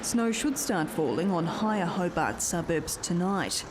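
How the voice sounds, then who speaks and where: A woman's voice: lowish voice, big pitch swings, unhurried. Australian woman reporter, faint background noise. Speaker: news reporter. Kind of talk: scripted report narration.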